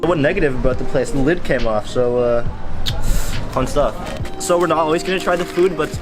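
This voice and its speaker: A man's voice: a little high, a little fast, music in the background. A young man, a student co-host, chatting casually and informally in a review vlog, with slangy phrasing. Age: young adult. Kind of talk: casual chat.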